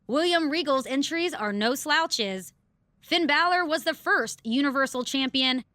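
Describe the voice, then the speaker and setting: Bright, high-voiced wrestling show host. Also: female voice, lively intonation, measured pace, no room sound, clean studio sound, full character voice. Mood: brisk, informative. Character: female wrestling broadcast host, poised and briskly informative. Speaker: sports show presenter. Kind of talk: scripted wrestling preview commentary.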